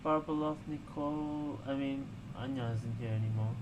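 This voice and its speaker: A man casually commenting as a gamer on a story playthrough, halting and thinking aloud. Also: mid-range voice, noisy background. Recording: loud game music behind voice. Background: game music and dialogue.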